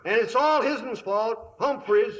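A man's voice: extremely high pitch, takes it slowly. An actor playing a folksy, worried old frontier father with rustic drawling speech in a 1950s radio comedy. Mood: fretful. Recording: dull lo-fi 1950s radio broadcast.

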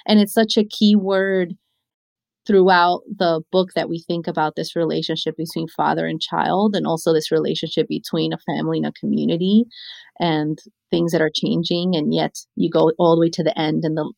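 A woman educator from Chile speaks in a conversational, storytelling way, often checking in with her listener. Her voice sits slightly low and has a lot of creak. The recording is close and dry. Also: darkish tone, dry recording. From born in Chile, came to the United States when little. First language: Spanish. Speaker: educator and author. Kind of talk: conversational interview answer with anecdotes.

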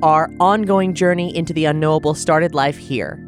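A man's voice: on the high side, steady tone, moderate pace. A man, the show's runner, narrating a scripted introduction in a reflective, wry way. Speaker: showrunner. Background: music score.

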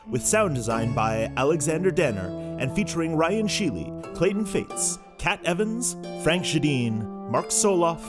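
A man delivering scripted podcast credits as announcer. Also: a little high. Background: guitar music.

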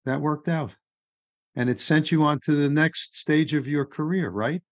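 A man's voice: a little high, unhurried, no room sound. A man hosting a podcast interview, talking in an easygoing, encouraging way. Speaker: podcast host. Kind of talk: casual interview chat.

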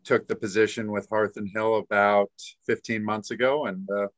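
A young adult man chatting as a guest in a laid-back, halting way. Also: fairly low pitch, level tone, no echo. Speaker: guest, restaurant wine buyer. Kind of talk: casual interview chat.

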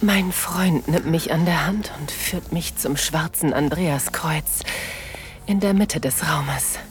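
An actress playing a submissive, aroused woman, narrating intimately in a breathy, whispery voice in an erotic audio drama. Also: deep voice. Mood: aroused, yielding. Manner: slow, sensual delivery. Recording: music behind the voice.

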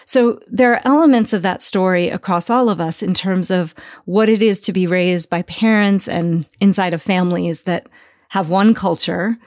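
A woman who grew up in New Jersey and Tanzania, hosting a podcast conversation and speaking in a measured, articulate way. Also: lowish voice, a little mellow, no room sound, clean studio sound. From New Jersey, USA, raised partly in Tanzania. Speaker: podcast host. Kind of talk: reflective conversation.

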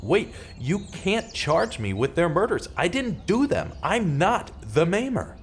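A man's voice: high voice, big pitch swings, unhurried, a little hiss or hum, full character voice. A man playing an earnest, desperate journalist in a radio theater drama, speaking with urgent, pleading drama. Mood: panicked, pleading. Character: earnest, desperate male journalist wrongly accused of murder.

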